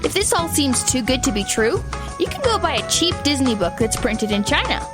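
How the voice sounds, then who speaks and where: Casting: female ad announcer, high voice, very lively tongue-in-cheek delivery over music. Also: normal speed. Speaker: commercial announcer. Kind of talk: scripted tongue-in-cheek ad read. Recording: music bed behind the voice.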